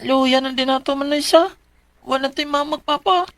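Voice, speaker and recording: A woman's voice: high voice, brisk, a bit bright. A woman with a Cebuano Filipino accent voicing a sickly, suffering young boy, whiny and pitiful, in a radio drama. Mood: whining, hungry. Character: sickly, suffering little brother, young child.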